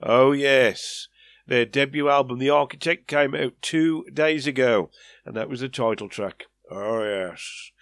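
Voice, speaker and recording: Brief: male radio presenter, mid pitch, stuffed-up nasal voice, rambling and keen. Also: unhurried, a bit bright, no echo. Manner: chatty, enthusiastic, rambling asides. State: nasal from a head cold. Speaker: radio show host. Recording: faint music behind.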